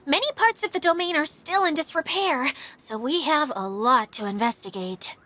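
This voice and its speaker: A woman's voice: high-pitched, lively intonation, moderate pace, sharp tone. A woman voicing a bubbly, self-doubting young female caretaker mascot in a video game cutscene. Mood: businesslike.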